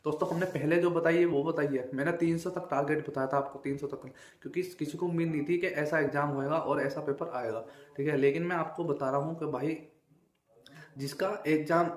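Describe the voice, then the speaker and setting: Young man, echoey room. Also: a little high. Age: young adult. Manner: repetitive, emphatic, with frequent check-in tags. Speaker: exam-prep video creator and exam candidate. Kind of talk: informal exam analysis talk to viewers.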